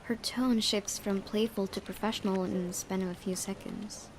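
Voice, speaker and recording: A woman's voice: medium pitch, fairly even intonation, moderate pace, slight background noise. A woman let's player reading visual novel narration aloud, with casual asides and occasional stumbles that she corrects. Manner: stumbles over words and corrects herself.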